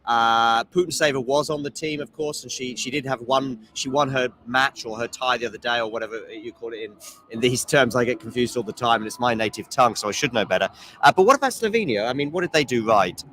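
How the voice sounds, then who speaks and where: Fast-talking man hosting a tennis chat. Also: medium pitch, fairly bright tone, slight background noise, low-quality recording. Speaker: tennis podcast co-host. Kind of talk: casual conversational analysis.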